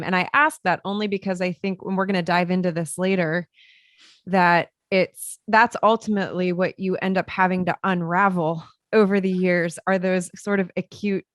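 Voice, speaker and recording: A woman's voice: on the low side, no echo, clean studio sound. A woman hosting an interview, asking questions in an encouraging, affirming way. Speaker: interview host. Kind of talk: conversational interview.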